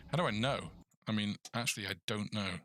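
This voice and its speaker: A man's voice: fairly low pitch, fairly quick, bright, forceful tone, slight background noise. A man hosting a casual coding tutorial, thinking aloud in a hesitant mutter as he works. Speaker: game development tutorial host.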